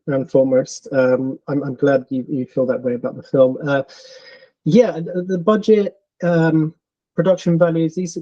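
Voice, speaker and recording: A man's voice: on the high side, warm tone. Hesitant London-accented man on a dull call. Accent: London English. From England. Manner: hesitant, many ums and restarts. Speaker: filmmaker guest. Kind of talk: casual interview answers.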